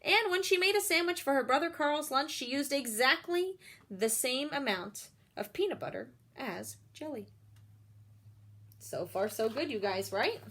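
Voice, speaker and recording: High-voiced woman reading a children's picture book. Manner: expressive, explains feelings and puts questions to children. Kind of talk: read-aloud storytelling with asides to young listeners.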